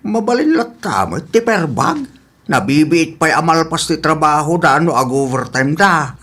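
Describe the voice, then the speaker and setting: A man's voice: a little high, big pitch swings, normal speed, a bit bright. A man acting an easygoing older father and farmer in a comedy radio drama, with an Ilocano accent. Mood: agreeable.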